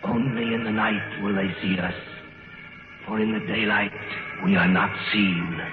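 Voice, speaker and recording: An actor playing an ominous shadow being, solemnly chanting prophecy in a 1950s radio horror style. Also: male voice, fairly low pitch, steady tone, close to an everyday voice. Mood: solemn menace. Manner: slow, solemn, incantatory. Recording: muffled echoey vintage radio recording, music behind.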